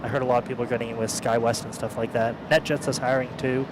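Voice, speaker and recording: A man's voice: mid-range voice, little pitch movement, quick pace, heavy background noise. A young man who works the airport ramp, chatting casually and easily about his job. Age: young adult. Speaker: ramp worker and guest. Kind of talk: casual chat.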